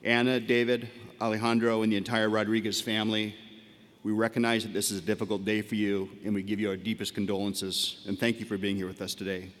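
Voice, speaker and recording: A man's voice: on the low side, little pitch movement, fast. A man acting as master of ceremonies at a graduation, speaking in a solemn, careful and respectful way into a podium microphone. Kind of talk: formal ceremony announcement. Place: large arena. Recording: long arena reverb.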